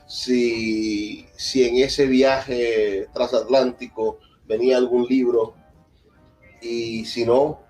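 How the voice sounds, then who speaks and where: A man interviewing a guest at a public talk in a deliberate, reflective way. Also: mid-range voice, dark tone, low-quality recording. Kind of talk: conversational interview introduction. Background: faint music.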